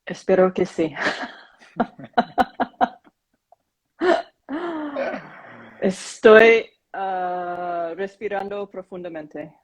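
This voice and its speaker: Slow-spoken husky woman. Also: mid-range voice, expressive. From Korea. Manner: slow, careful, hesitant speech. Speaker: guest. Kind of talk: conversational online interview. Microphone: video call.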